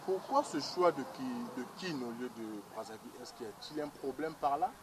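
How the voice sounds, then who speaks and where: A man's voice: mid-range voice, animated, brisk, noisy background. A man with a Congolese accent, asking questions as an interviewer in a conversational style, recorded with people chattering behind him. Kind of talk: conversational interview with some Lingala mixed in.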